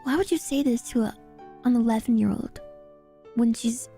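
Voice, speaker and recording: Woman VTuber, very high voice, slow-paced casual chat, giggly and offhand, quiet music underneath. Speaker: VTuber streamer. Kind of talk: casual stream chat and recap.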